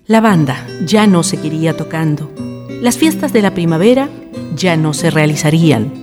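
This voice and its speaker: A woman narrating a scripted radio drama in a literary storytelling style. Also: lowish voice. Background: guitar music.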